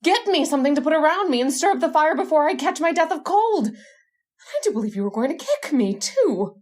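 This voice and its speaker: A woman's voice: high voice, very animated, a little fast, darkish tone. A woman playing a bossy, jealous witch wife in a radio horror drama, teasing and slyly menacing. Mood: scolding, indignant. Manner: imperious, teasing, sly. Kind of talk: old-time radio horror drama acting.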